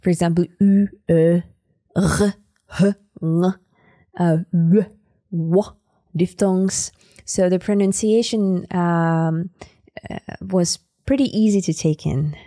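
Woman, Sakha accent, native Sakha speaker, mellow low voice, dry close sound, pauses with fillers. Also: steady tone, no echo. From Sakha Republic, Siberia. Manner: searching for words with many fillers. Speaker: guest. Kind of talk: conversational interview.

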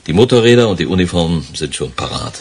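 Cynical male schemer, forties, for radio crime play; very husky, with widely swinging intonation. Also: deep voice, animated. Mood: calculating, confident. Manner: cynical, sardonic, deliberate phrasing. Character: cynical, scheming 46-year-old male crook and lover. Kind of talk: German radio crime drama acting. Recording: 1980s studio radio play.

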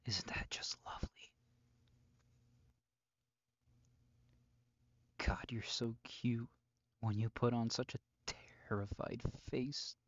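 A man playing a possessive, controlling young captor in an intimate audio roleplay, speaking deliberately and often dropping into breathy whispers. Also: mid-range voice, steady tone, dry recording, dull audio, close to an everyday voice. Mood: whispered, taunting. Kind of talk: ASMR-style audio roleplay drama.

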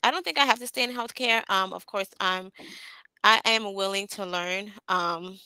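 A young woman speaks through a headset on a video call from a small room, answering interview questions with frequent "um" pauses. Her voice is bright and a little low, and the sound is dry and close. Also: vocal fry, no room sound, low-quality recording. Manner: hesitant, many "um" fillers. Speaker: job candidate.

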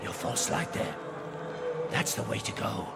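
A man's voice: fairly low pitch, measured pace, gravelly. An actor playing a grandiose male cult preacher who leads a fire-worshipping flock, in a video game cutscene. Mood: preaching. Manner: grandiose, preaching, sweeping swings between loud and hushed. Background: loud score music.

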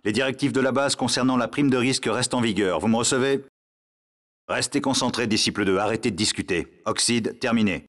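Actor as a stern military radio dispatcher. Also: male voice, mid-range voice, brisk, a bit bright, close to an everyday voice. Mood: stern, businesslike. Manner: authoritative, clipped. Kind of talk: clipped military radio chatter, game voice acting.

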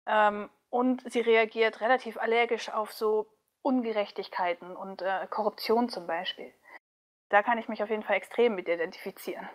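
A woman speaking casually as an interview guest, chatty and animated, like a voice actress talking about her work. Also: mid-range voice, normal speed.

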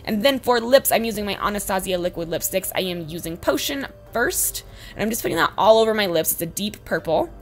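A woman in her twenties with a slightly hoarse voice, giving a casual, chatty makeup tutorial voice-over. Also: medium pitch, animated, brisk. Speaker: beauty vlogger, host. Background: background music.

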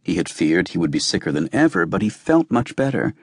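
A man reading a novel as an audiobook narrator, with measured, deliberate phrasing. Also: low voice, big pitch swings, husky, dry recording, clean studio sound. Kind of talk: scripted literary narration.

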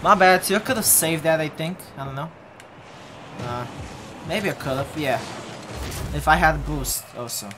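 Young man, headset, casual stream talk, blunt, game music loud behind. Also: normal speed, long pauses. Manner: blunt, talks back to chat, low mumbled asides. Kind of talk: casual live-stream chat while playing. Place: home room at a desk. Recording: game music playing loudly underneath.

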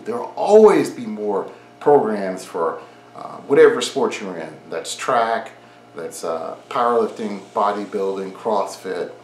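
A man in his forties talks to the camera from a home garage gym, low-pitched but swinging up and down a lot as he stresses his points. He is earnest and encouraging and circles back to the same advice. The room rings with echo, and a faint hum sits under his voice. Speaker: fitness vlogger. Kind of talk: direct-to-camera advice talk.

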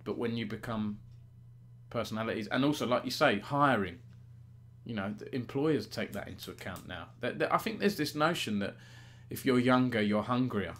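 A man's voice: mid-range voice, lively intonation, normal speed. A middle-aged man interviewing someone in a casual, conversational way over a video call. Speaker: interviewer, gaming news editor. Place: small home room, indoors, on a video call.

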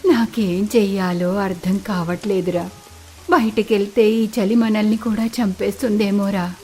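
A woman voicing a poor, sorrowful elderly village mother in an animated moral story, lamenting plaintively. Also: medium pitch, a little slow, soft background music, voice pushed down, close to an everyday voice. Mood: anxious, despairing.

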